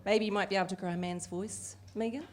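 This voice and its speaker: A woman voicing a Deaf host live at an evening event, lively and chatty with frequent fillers. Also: medium pitch, quick pace, very noisy. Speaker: sign language interpreter voicing the Deaf host. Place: event venue with an audience.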